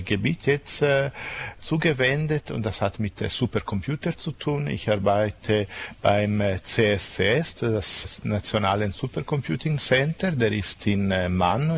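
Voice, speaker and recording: A man's voice: lowish voice, vocal fry, slight background noise. A man with an Italian accent, a guest in a casual interview, speaking hesitantly with many filler sounds and frequent chuckles.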